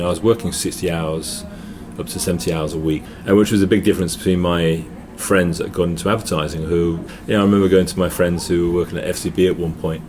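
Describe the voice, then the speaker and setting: A middle-aged Englishman with an English accent, a guest in casual chat, telling stories with frequent restarts in a noisy hotel. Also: low-pitched. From England. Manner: storytelling, restarts with repeated words.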